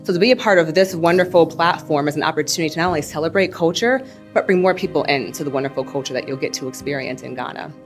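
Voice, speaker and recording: A woman's voice: low voice, quick pace, faint music behind. A young adult woman, a first-generation Ghanaian raised in the United States, moderating a panel event in an upbeat, welcoming way.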